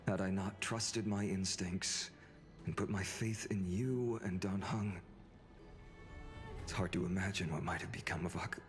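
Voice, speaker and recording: An actor playing a gallant, earnest young fantasy hero in a video game, speaking in a measured, courteous way. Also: male voice, sits low, fairly even intonation. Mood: sincere, teasing. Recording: long reverb, game music behind.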